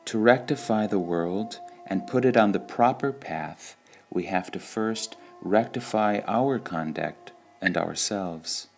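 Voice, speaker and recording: A man reading devotional sayings from a script in a measured, deliberate way, pausing between short phrases. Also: on the low side, vocal fry, faint music behind. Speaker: reader of spiritual quotations.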